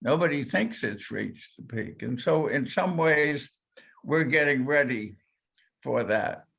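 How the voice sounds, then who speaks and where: An older man speaking as a panelist, telling a personal story in a slow, deliberate way. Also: medium pitch, animated, creaky, fairly bright tone, husky. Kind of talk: panel discussion remarks.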